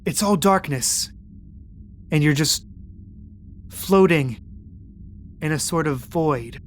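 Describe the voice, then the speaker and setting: A game master narrating a tabletop game, describing eerie dream scenes in a calm, measured way. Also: mid-range voice, a little hiss or hum.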